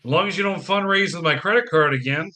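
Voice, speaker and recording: A man's voice: sits high, fast talker, bright, forceful tone. A man acting in an audio play as a harried, wry, affectionate businessman father with dry sarcasm.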